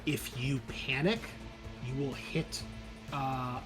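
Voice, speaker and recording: A man acts as game master for a tabletop role-playing session. He talks conversationally, working out rulings aloud as he narrates the action. His pitch is average, and faint music plays beneath his voice. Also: fairly even intonation, takes it slowly. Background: game background music.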